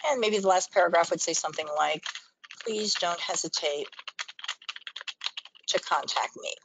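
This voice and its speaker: A woman's voice: fairly low pitch, normal speed, creaky, noisy background. A woman presenting a training webinar, explaining things step by step in an instructional screen-share style.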